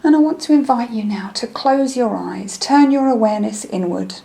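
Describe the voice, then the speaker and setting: A woman leading a guided meditation in a gentle, soothing, unhurried manner, with phrases that trail off softly. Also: a little high. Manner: soothing, gentle, unhurried instruction with soft breathy phrase endings.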